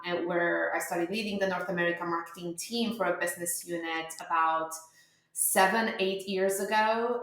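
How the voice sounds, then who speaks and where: A woman's voice: on the low side, a bit bright. A woman from Romania in her thirties, a startup founder answering interview questions in a chatty way with many fillers and restarts. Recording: very echoey room.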